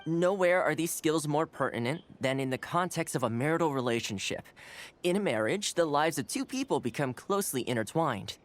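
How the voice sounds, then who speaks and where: An actor playing a polite, earnest young male housekeeper who teaches a class in a video game, speaking clearly and courteously. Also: fairly high pitch, lively intonation, brisk, lightly acted. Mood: earnest, welcoming. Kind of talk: video game voice acting, instructional speech. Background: background music.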